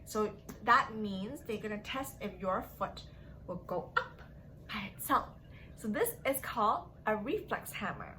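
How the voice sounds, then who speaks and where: Bright, animated young woman teaching small children. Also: medium pitch, unhurried, a little hiss or hum. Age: young adult. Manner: sing-song, exaggerated, addressing small children. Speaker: children's story time teacher. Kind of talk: children's lesson, asking questions and praising answers. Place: small furnished room at home.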